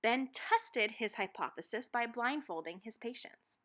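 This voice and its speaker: A woman narrates a children's picture book in a fairly high, clearly bright voice. She reads expressively, leaning on key phrases with dramatic, deliberate emphasis. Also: expressive. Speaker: read-aloud narrator.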